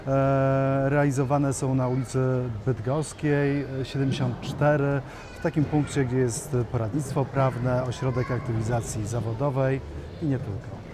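A man in his thirties with a mid-range voice, explaining things in a measured way with hesitant fillers. Clear shopping-mall noise sits behind him. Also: medium pitch, level tone. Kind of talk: interview answers. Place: shopping mall seating area, indoors.

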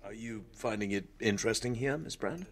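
An actor with an English accent playing a suave, cool British secret agent in a radio drama. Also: male voice, on the low side, a little fast, lightly acted. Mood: suave, flirtatious.

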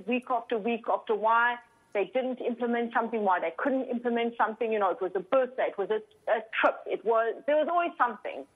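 South African woman on a phone line. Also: fairly high pitch. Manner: open, chatty storytelling. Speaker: guest coach. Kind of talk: podcast interview. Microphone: phone call. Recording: phone-line call audio.

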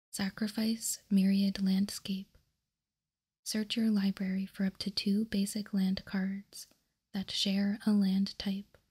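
A woman speaks in a soft ASMR whisper, very breathy and mellow. Her delivery is slow and deliberate, as if reading aloud carefully, close to the microphone. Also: mid-range voice. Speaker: host.

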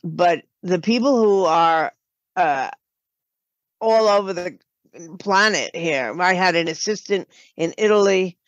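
A woman's voice: on the low side, slow, no echo. An older woman talking as an interview guest, telling stories conversationally over a dull lo-fi remote connection. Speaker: podcast guest, writer and producer. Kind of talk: conversational storytelling.

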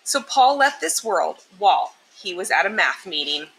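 A woman's voice: medium pitch, normal speed, poor audio. A woman reading a children's picture book aloud expressively, explaining and emphasizing things for young listeners. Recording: clear steady background noise.

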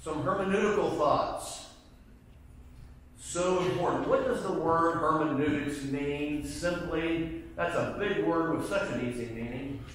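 A man's voice: fairly high pitch, unhurried, fairly bright tone, a little hiss or hum. A middle-aged man teaching a Bible class in a church sanctuary, emphatic and often putting questions to the class. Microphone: far camera at the back of the room. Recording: echoey room from far off.

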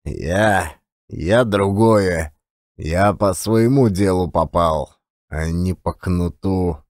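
A man voicing a surly, contemptuous old billiard marker who grumbles in a drawn-out monotone. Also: deep voice, dry recording, clean studio sound, voice pushed down, full character voice. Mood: bitter, scornful. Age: older.

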